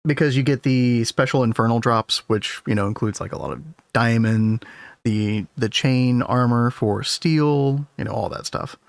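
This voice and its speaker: Guy streaming a game, kinda hoarse, chill rambly talk to chat, dry close sound. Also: medium pitch, moderate pace, dry recording. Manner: rambling, easygoing, talks to chat. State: losing his voice. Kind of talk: casual gameplay commentary.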